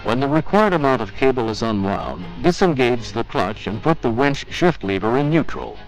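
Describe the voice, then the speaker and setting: A man's voice: medium pitch, big pitch swings, normal speed, low-quality recording. A man reading scripted instructional narration for a training film in a formal, methodical, step-by-step manner. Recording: 1980s training film soundtrack with music.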